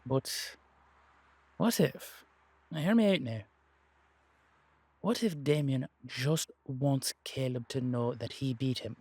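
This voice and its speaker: A man acting a shrewd, streetwise investigator in an audio drama, speaking deliberately and persuasively in halting, emphatic phrases. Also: medium pitch, darkish tone, slightly rough, no echo. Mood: intent, explaining. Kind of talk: dramatic audio roleplay dialogue.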